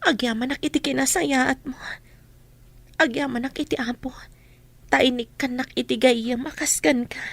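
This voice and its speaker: A woman's voice: high-pitched, fairly even intonation, moderate pace, creaky, fairly bright tone, no room sound, exaggerated voice. A woman playing a sad, burdened young waitress with a polite manner in a radio drama. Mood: sad, prayerful. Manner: sorrowful, polite.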